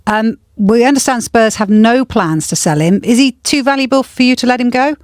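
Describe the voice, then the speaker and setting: A woman presenting a live sports show, brisk and asking questions. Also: a little high, expressive, no room sound, studio quality. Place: studio.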